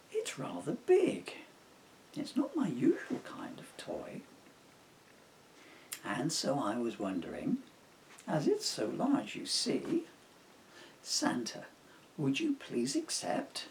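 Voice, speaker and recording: A man's voice: very high voice, a little mellow, a little hiss or hum, pitched above the performer's own voice, full character voice. A man voicing a sweet, polite, clever young girl in a children's storybook, speaking earnestly.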